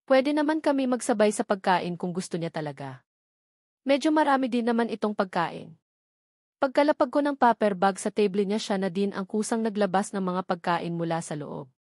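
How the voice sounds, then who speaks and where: A woman's voice: medium pitch, animated, normal speed, darkish tone, no room sound, studio quality. A woman with a Filipino accent reading a first-person romance novel as a scripted audiobook narration.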